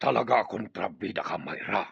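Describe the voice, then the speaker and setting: Casting: a villainous greedy chief, very gravelly and raspy, defiant and scornful, dull radio-drama sound. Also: male voice, lowish voice, lively intonation, moderate pace, full character voice. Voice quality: gravelly, rough. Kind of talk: Ilocano radio drama acting.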